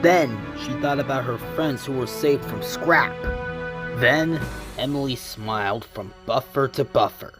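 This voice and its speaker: A man's voice: mid-range voice, a little slow, voice pushed down, natural voice. A man reading scripted narration for a children's story in a fan comic dub. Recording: music under the voice.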